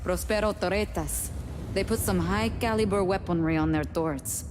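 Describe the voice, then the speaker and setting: Game role: confident young female hacker played by a woman, medium pitch, faint noise behind. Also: normal speed, in a large hall, close to an everyday voice. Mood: confident, probing. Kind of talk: video game cutscene acting.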